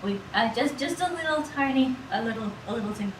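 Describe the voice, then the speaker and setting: High-voiced middle-aged woman, echoey and distant. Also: expressive, a little fast. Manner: playful, rambling, repeats words and phrases. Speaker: cooking streamer. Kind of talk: casual livestream chat while cooking. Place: small indoor kitchen room. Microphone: distant, several feet away. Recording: roomy echo, distant mic, background noise.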